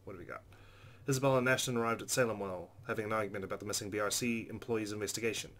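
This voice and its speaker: A man with a fairly low voice reads a visual novel aloud as host of a let's play. He narrates the story expressively and drops in casual asides. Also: fairly even intonation, rapid-fire, a bit bright.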